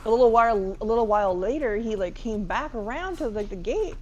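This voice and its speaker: A woman with a fairly deep voice for a woman, chatting and telling stories over gameplay and laughing often. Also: lively intonation, brisk, a little mellow, dull audio, slight background noise. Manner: rambling storyteller, laughs often. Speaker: co-host. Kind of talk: casual chat over gameplay.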